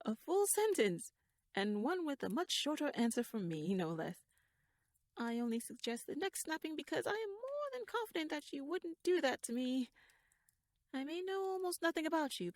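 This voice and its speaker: A shrewd young noblewoman, played by a woman, speaks in a fairly high voice, close and dry on the mic. She rambles in formal, courtly phrases with wry asides, nervous yet playfully bold. Her soft delivery often drops toward a whisper, in the intimate style of ASMR audio drama. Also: dry recording. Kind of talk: ASMR audio roleplay, addressed to the listener. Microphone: close microphone, dry.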